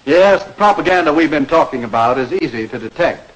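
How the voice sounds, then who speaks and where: An actor playing a patient campaign mentor, explaining and probing with questions in a 1940s educational film. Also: male voice, fairly high pitch, animated, fairly quick, a bit bright. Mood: instructive. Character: patient campaign speechwriter coaching a young learner. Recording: noisy old 1940s film soundtrack.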